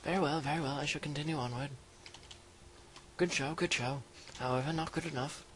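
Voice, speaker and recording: A man voicing a pompous, polite, easily flustered British gentleman explorer, speaking formally and repeating himself in improvised video game commentary. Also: on the high side, fairly even intonation, brisk, slight background noise, lightly acted. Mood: pompous, genteel. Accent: put-on British. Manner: pompous, formal, repetitive phrasing.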